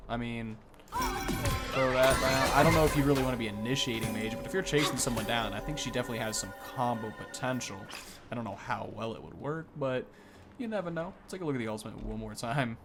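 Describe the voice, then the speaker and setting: A young man commentates on a video game in a casual, unscripted way. His voice is medium-pitched and he thinks out loud informally, with music playing clearly behind him. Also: quick pace. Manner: informal, thinking aloud as he tests. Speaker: gaming video host.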